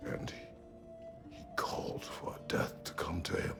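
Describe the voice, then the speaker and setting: An actor playing a gruff, stoic warrior husband in a video game, speaking in terse, solemn, gravelly phrases. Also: male voice, huge pitch swings, slow, in a whisper, music in the background, exaggerated voice. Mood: grave, brooding. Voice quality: very deep gravelly voice. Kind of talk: dramatic video game acting.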